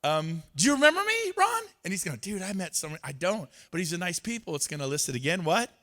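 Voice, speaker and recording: A man's voice: high-pitched, very animated, quick pace, pushed and bright. A man giving a motivational talk on stage, acting out both sides of conversations with others. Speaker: real estate speaker. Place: event stage.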